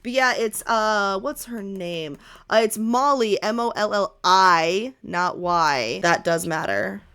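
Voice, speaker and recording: A woman acts out a breezy young woman gamer who is easily sidetracked. Her pitch is average for a woman but swings freely as she gushes and rambles in casual slang, like chat in a tabletop roleplay game. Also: animated, slow pace, fairly bright tone, clear, resonant tone. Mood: casual, offhand.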